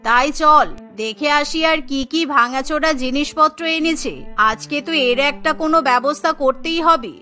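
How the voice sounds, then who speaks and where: Woman acting a scornful, scolding older mother-in-law, bright voice. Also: sits high, moderate pace, faint music behind, voice pushed down. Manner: scolding, indignant, haughty.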